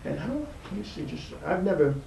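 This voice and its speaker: A man hosting a casual, unscripted interview in a halting, informal way. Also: high-pitched, big pitch swings, creaky, warm tone. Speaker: interview host. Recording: echoey, distant mic, background room noise.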